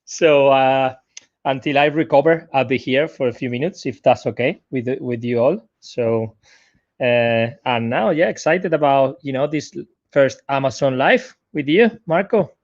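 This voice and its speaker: A man's voice: medium pitch, measured pace, lo-fi sound. A man with a Spanish accent, guest on a live stream, talking casually from his sofa at home, hesitant with many fillers. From Spain. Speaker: guest digital marketer.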